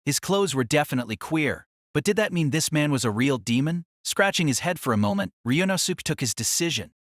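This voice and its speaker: A man reads a dramatic novel as narrator, his fairly high voice moving through wide swings of pitch. The sound is very dry, and the delivery is scripted audio drama storytelling. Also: expressive, brisk, fairly bright tone, no room sound.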